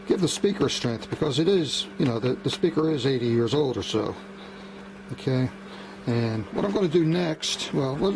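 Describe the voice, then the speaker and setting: Clear background noise surrounds a man of medium pitch. He talks casually and haltingly, explaining things step by step like a hobbyist at his workbench. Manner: halting, unscripted, methodical. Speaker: hobbyist radio restorer. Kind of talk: casual demonstration vlog. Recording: clearly noisy hobby video recording.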